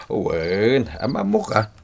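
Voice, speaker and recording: Slow-talking, curious Filipino father. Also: fairly low pitch, huge pitch swings, vocal fry, full character voice. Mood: amused, curious. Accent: Ilocano Filipino. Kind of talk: Ilocano radio drama acting. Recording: faint background noise, old radio recording.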